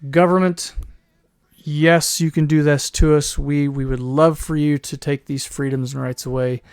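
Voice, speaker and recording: Hoarse man rambling on a podcast. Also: a little high, flat delivery, measured pace, a little mellow, no echo, clean studio sound. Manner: rambling, with restarts and throat clearing; mocking put-on voices in quoted asides. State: losing his voice. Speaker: podcast host. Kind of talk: solo commentary podcast.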